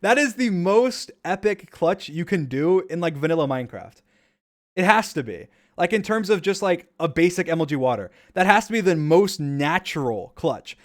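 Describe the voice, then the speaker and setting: A man's voice: high-pitched, expressive, normal speed. A man casually commenting on his own gameplay clips during a stream, rambling and repeating himself with enthusiasm.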